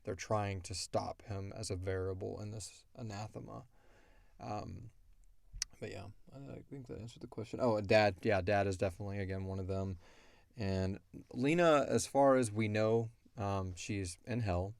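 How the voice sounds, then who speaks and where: A man's voice: on the low side, steady tone, normal speed, gravelly, a little mellow, no room sound, clean studio sound. A man hosting a podcast in casual conversation, explaining things in a rambling way as he answers listener questions.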